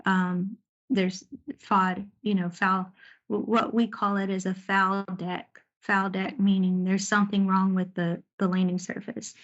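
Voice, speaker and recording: A woman's voice: mid-range voice, flat delivery, a little slow. Woman giving an informal talk, dull lo-fi audio. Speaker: Navy air traffic controller giving a presentation. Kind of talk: informal slide presentation recounting deployment stories.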